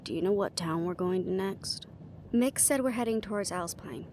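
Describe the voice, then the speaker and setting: A woman's voice: medium pitch, moderate pace, a little mellow, slight background noise, lightly acted. A woman playing a guarded, weary young team leader in a fantasy audio roleplay, with a dry, casual delivery. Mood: businesslike.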